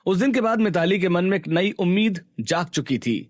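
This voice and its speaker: A man's voice: high-pitched, lively intonation, normal speed, dry recording, clean studio sound. A man reading scripted narration for a Hindi cartoon story.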